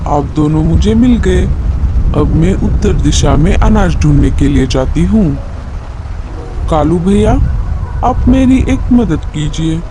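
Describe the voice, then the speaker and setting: Cartoon mother bird, male performer: small, earnest, pleading, raised pitch, slow delivery. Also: lively intonation, background noise. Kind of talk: Hindi children's cartoon dialogue.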